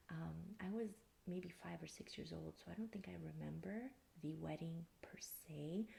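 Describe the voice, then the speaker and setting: Soft, very creaky ASMR woman. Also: lowish voice. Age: thirty-four. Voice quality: soft, breathy near-whisper. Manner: calm, unhurried. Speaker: ASMR video creator. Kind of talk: soft-spoken ASMR, answering personal tag questions. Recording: faint background hiss.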